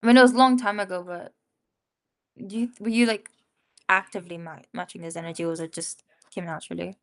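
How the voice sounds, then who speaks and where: Very quick-talking woman, casual chat. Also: lowish voice, fairly even intonation. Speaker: co-reviewer asking questions.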